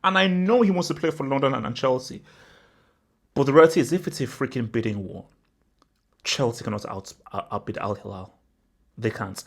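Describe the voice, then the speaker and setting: A young man from Nigeria talks football on his channel in a loose, excited vlog style. His voice sits at an ordinary middle pitch. He keeps hammering his points with "bro" and piles up exaggerations. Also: a little fast, long pauses, husky. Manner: animated and emphatic, repeats "bro", spirals into exaggeration. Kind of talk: casual vlog commentary.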